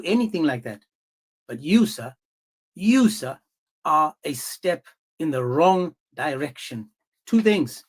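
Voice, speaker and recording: Guy hosting a debate stream, kinda high voice, taunting and pushy. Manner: mocking, confrontational, talks over others. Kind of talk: heated live-stream debate.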